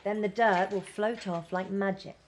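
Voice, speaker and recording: An actress playing a firm but caring wartime nurse in a radio play, brisk, proper and bossy. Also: female voice, mid-range voice, fairly even intonation, heavy background noise, lightly acted. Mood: brisk, exasperated. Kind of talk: 1940s-set radio play acting.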